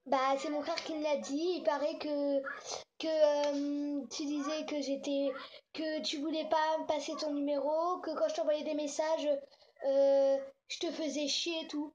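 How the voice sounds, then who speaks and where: A woman's voice: high voice, little pitch movement, unhurried, darkish tone, a little hiss or hum. A young girl chatting casually in slangy, improvised speech, thinking aloud as she makes prank calls. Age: child or young teen. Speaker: host making prank calls. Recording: dull lo-fi home recording.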